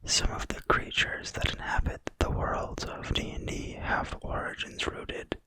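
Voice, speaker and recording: Asmr reader whispering super slow, breathy and quiet, crisp sharp hiss on the words. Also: poor audio. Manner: quiet, slow, deliberate phrasing. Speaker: ASMR video host and reader. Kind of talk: whispered ASMR reading of a game manual.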